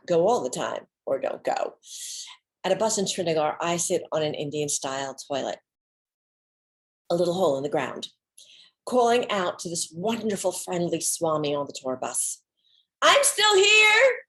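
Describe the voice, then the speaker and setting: A woman giving a dramatic reading of an autobiographical monologue, with theatrical, deliberate phrasing and pauses. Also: low voice, animated, darkish tone. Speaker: solo stage performer.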